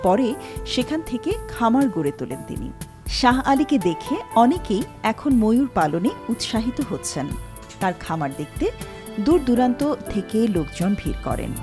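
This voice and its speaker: A woman's voice: fairly high pitch, very animated, moderate pace. Female Bangladeshi feature narrator, scripted read, very varied intonation, prominent music bed.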